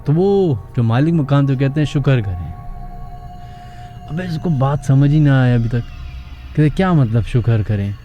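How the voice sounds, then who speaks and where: A man's voice: medium pitch, lively intonation, normal speed, long pauses, lo-fi sound. A man with a Pakistani accent hosting a radio horror show, telling eerie stories in a theatrical yet conversational way over music. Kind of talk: dramatic horror storytelling for radio.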